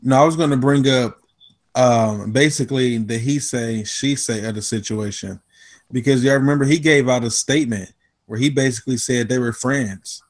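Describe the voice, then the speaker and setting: Cleveland dude on a podcast, loose and rambly, mid voice. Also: male voice, medium pitch, normal speed. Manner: loose, rambling, repeats words. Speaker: podcast co-host. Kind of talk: casual chat.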